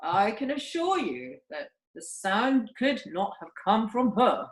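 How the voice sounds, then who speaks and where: A servile, shifty butler acted by a woman speaks with formal, deadpan hesitation in a comic play. The pitch is fairly high and swings widely, over a roomy internet call recording. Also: takes it slowly, heavily acted. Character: male butler Barrymore, shifty, deferential, deadpan. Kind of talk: comic amateur radio play reading.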